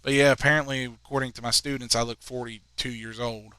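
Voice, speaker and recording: A man in his twenties calling a high school soccer game, chatting in rambling asides between plays. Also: steady tone, brisk, sharp tone, smooth, no echo. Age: 20s. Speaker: play-by-play commentator.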